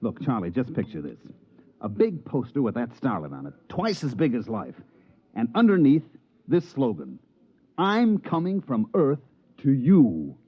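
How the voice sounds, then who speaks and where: A man's voice: mid-range voice, big pitch swings, a little slow, heavily acted. A man playing a brash, slangy American con man and promoter in a 1950s radio drama, who also narrates the tale. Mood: scheming, excited. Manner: slangy, smooth-talking salesman patter. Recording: muffled 1950s radio recording with music.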